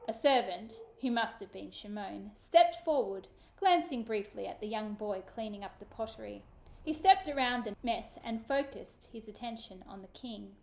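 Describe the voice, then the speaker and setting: A woman, a children's author, giving an expressive, animated dramatic reading of her book. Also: medium pitch, moderate pace, vocal fry. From Australia.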